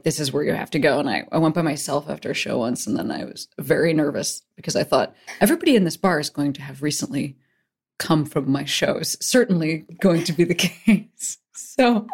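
Woman interview host, rambling, filler-heavy, low-middle pitch. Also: a little mellow. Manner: rambling, hedging, many fillers. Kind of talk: casual interview chat.

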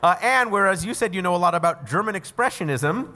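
A man hosting a live comedy quiz show on stage, teasing his guests with quick banter and frequent laughs. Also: high voice, animated, big-room sound, very noisy. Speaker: game show host. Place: live stage before an audience.

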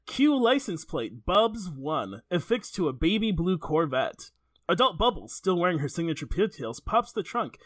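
A woman playing a screenplay narrator, reading scene directions in a declamatory, deadpan way. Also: lowish voice, normal speed, no echo, studio quality.

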